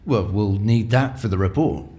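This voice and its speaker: A man's voice: fairly low pitch, moderate pace, warm tone, lightly acted. A man playing a sarcastic, jumpy police investigator in an audio drama, with a hesitant delivery. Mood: businesslike.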